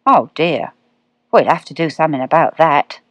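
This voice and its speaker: Kindly male cat, drawling, unhurried, lower voice, put on by a woman narrator, dry sound. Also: animated, no room sound. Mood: dreamy. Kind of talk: storybook reading.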